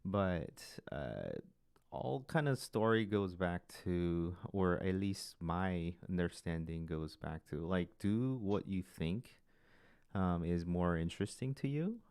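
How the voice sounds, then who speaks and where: A man with a Korean accent, Korean as his first language, hosting a casual talk show in a slow, hesitant way, pausing to form his sentences. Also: deep voice, expressive, darkish tone, clean tone, no room sound, studio quality. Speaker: podcast host.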